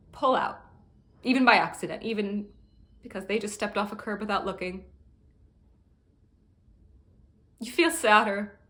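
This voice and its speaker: A woman performing a dramatic monologue as a grieving young friend giving a halting, tender eulogy. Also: medium pitch, a bit bright, natural voice. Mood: pained. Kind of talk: dramatic monologue, funeral speech.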